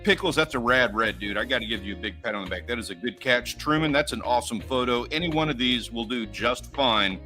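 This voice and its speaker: An American man co-hosting a livestream, chatting in a loose, easygoing way. His voice is bright and forward. Faint music plays under him. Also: mid-range voice, a little fast, few pauses. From United States. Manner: laid-back, chatty, reads viewer names and runs polls. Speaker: livestream co-host. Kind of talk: casual livestream chat.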